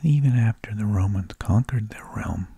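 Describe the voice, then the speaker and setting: Male ASMR host: whispered, husky and gravelly, unhurried halting monologue, very dry close sound. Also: lowish voice, warm tone, no room sound. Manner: slow, halting delivery with long pauses. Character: mild, tedious energy vampire who lulls people to sleep.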